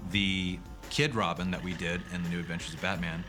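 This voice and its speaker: A man's voice: low voice, little pitch movement, fairly quick, pushed and bright, soft background music. A man who works as an animation creator, explaining things informally in a casual documentary interview.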